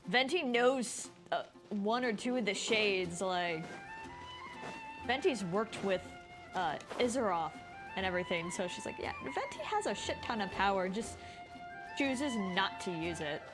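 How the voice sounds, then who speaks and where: A woman's voice: medium pitch, lively intonation, a little slow, music in the background. A woman streamer giving informal, rambling live commentary while she plays a video game. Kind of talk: casual live gameplay commentary.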